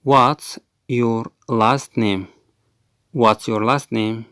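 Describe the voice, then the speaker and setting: A man leads a language lesson with a smooth, resonant voice at an ordinary pitch. He repeats each example twice at a slow, careful pace and rattles off his explanations between them. The recording is close and dry. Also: fairly even intonation, a bit bright, dry recording. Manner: says each English phrase twice, slowly and clearly. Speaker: English teacher.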